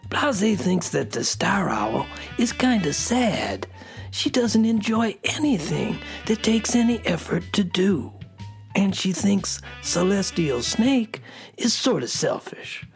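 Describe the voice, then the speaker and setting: Woman as a gravelly, unhurried beatnik storyteller. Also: lowish voice, full character voice. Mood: confiding. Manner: laid-back beatnik slang. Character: hip beatnik cat storyteller addressing little hepcats. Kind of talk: children's story narration.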